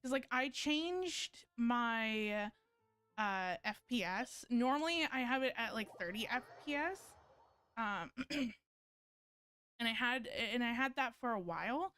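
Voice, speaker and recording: A woman chatting casually on a game livestream in a hesitant, halting way that often trails off. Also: a little high, animated, bright, forceful tone, smooth, soft background music. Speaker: video game streamer.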